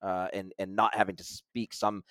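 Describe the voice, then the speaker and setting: A man's voice: low voice, level tone, normal speed, a bit bright, no echo, studio quality. A man as an interview guest, explaining technical ideas at length in casual conversation, often restarting his sentences. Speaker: guest expert.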